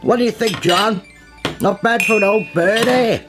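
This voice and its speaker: An actor playing a pompous aristocratic cult leader in a radio play, theatrical, old-fashioned and genially menacing. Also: male voice, sits high, normal speed, music in the background, lightly acted. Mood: jovial, smug. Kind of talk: period radio play acting.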